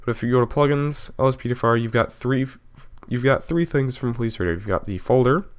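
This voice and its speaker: A man narrating a software tutorial casually, explaining step by step in an informal way and correcting himself as he goes. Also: mid-range voice, fast talker, smooth. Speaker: video tutorial host.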